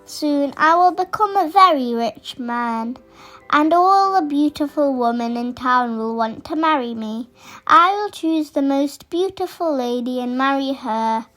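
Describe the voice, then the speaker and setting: A young storyteller as a high-voiced daydreaming beggar. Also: unhurried, bright, forceful tone, faint music behind. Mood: dreamy. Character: dreaming poor beggar man, hopeful and boastful.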